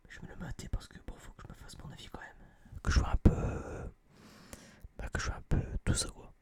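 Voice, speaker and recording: A person whispering a casual, rambling ASMR film review in slangy, hesitant phrases, often repeating words, close to a binaural microphone. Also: fairly quick. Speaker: ASMR video creator.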